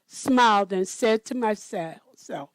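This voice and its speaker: A woman storyteller reading a children's picture book aloud in a deliberate manner. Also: medium pitch, no echo.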